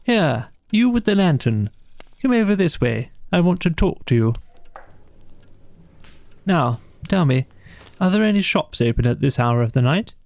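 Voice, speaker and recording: A man acts out a masterful storybook rat, hearty and kindly. He gives orders briskly in a raised, commanding voice. The sound is very dry, with no room around it. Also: a little high, very animated, creaky, a little mellow, no echo, pitched above the performer's own voice, exaggerated voice.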